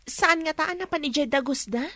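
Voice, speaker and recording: A woman's voice: high-pitched, normal speed, pushed and bright, no room sound. A woman playing an earnest young Ilocano woman. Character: caring young village woman searching for news. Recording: clear crowd noise behind.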